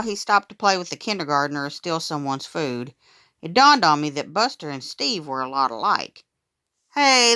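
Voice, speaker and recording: A woman reading a children's story aloud in an animated, expressive way, acting out the dialogue as she goes. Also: low-pitched, smooth, no echo, clean studio sound.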